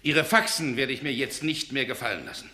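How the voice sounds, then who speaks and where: An actor playing a stern, indignant gentleman in a 1960s radio drama, with a commanding, scolding way of talking. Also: mid-range voice, fairly quick, husky. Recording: noisy old 1960s radio recording.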